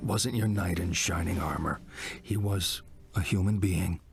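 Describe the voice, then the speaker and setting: A man's voice: low-pitched, fairly even intonation, unhurried, gravelly. An actor playing a provocative, impatient male psychiatrist in a video game, theatrical and pointed, asking rhetorical questions. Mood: grave, insistent. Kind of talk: dramatic video game acting. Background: music.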